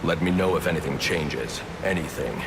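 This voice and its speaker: An actor playing a stern, driven young vigilante in a video game, terse and brooding. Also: male voice, rumbling low, normal speed. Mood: grim, intent. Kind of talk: dramatic video game cutscene acting. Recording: loud urban background noise.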